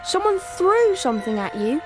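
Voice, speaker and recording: A woman's voice: very high voice, very animated, moderate pace, music in the background. A woman voicing an earnest, inquisitive young schoolboy wizard with a British accent in a video game. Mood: curious.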